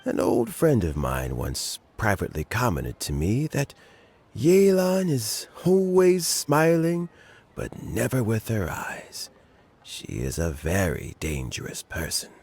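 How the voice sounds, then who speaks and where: An actor playing a wise elderly spymaster in a game, giving calm, measured advice. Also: male voice, lowish voice, slight background noise, full character voice. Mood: calm counsel. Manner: measured, advising, gently paced. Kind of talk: game voice acting. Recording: game audio with faint music. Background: faint music.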